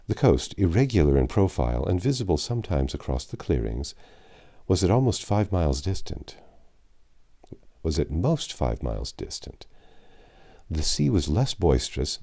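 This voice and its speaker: A man narrating a classic adventure novel aloud, with measured, dramatic phrasing and some drawn-out words. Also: low voice, warm tone. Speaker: audiobook narrator.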